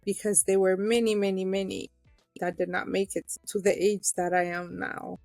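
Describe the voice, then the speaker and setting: A woman's voice: mid-range voice, flat delivery, moderate pace, gravelly, darkish tone, no echo, studio quality, soft background music. A middle-aged woman from Ethiopia, a guest telling her own story in an interview, earnest and emotional, with many fillers.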